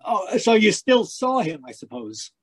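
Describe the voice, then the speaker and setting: A hearty old-time radio announcer. His voice sits high for a man, with a folksy, cheery salesman's manner in the 1940s style, recorded over a live video call. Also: big pitch swings, a little slow, breathy edge, heavily acted. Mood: curious, friendly. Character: cheery, folksy male radio announcer, eager sidekick listener. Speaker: radio announcer and sponsor pitchman.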